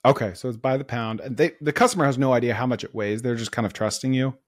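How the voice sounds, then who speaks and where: Inquisitive podcast host man. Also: mid-range voice. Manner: quick, probing questions, thinks aloud with opinions. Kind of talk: casual interview chat.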